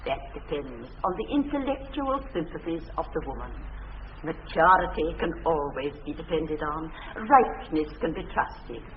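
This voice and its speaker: Prim middle-aged English governess, pedantic, coy, swooping emphatic intonation, muffled period radio comedy. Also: female voice, sits low, very animated, normal speed. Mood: coyly lecturing. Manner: lecturing, emphatic, fussy. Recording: dull old radio sound, faint noise.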